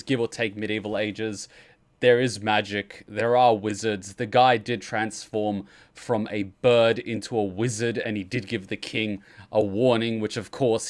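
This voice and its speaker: A man hosting casual, offhand explanatory commentary on game cutscenes. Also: mid-range voice. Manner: informal, offhand. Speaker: video host.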